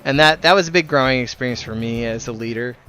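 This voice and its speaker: A man's voice: mid-range voice, fast talker, bright, forceful tone, a little hiss or hum. A man who leads a gaming group, talking casually while streaming a game, earnest and rambling, with some casual swearing.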